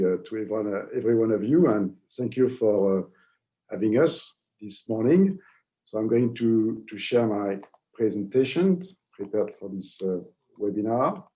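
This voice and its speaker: Halting French-accented man, muffled call audio. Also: on the low side. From France. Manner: halting, with frequent "uh" fillers. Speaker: guest presenter. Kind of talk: webinar presentation. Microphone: video call. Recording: dull lo-fi online call audio.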